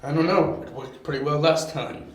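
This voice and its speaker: A man's voice: a little high, steady tone, normal speed, natural voice. Echo-soaked smug villain played by a man. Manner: taunting, curt. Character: smug male henchman villain, menacing and dismissive. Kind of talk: amateur audio drama acting. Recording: very echoey, noisy amateur recording.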